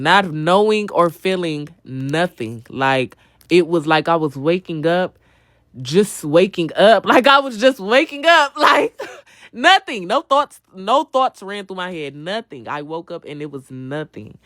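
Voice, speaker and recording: A woman's voice: fairly low pitch, lively intonation, takes it slowly, fairly bright tone, no echo. Young woman on a live just chatting w viewers, blunt, cusses a lot, slangy, says stuff over again, dry sound. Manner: repeats phrases for emphasis. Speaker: livestream host. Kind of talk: casual livestream chat, answering viewers' comments.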